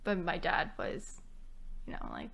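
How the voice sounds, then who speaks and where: Chatty woman co-host, casual podcast talk, quick to laugh. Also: on the low side, huge pitch swings, vocal fry, a bit bright. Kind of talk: casual chat.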